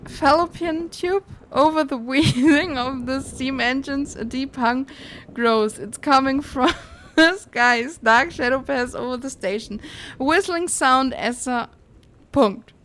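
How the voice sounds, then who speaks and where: Slow-paced, higher-voiced woman streamer reading aloud. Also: fairly bright tone. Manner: giggly, breaking into laughter while reading. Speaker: live streamer playing a video game. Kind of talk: reading game text aloud on a livestream.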